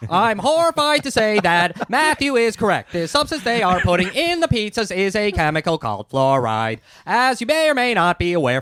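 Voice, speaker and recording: Man putting on a high-pitched, pedantic conspiracy nerd. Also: a bit bright, no room sound. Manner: pedantic, indignant ranting. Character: aggrieved, nerdy conspiracy-minded chemist man, whiny and fussy.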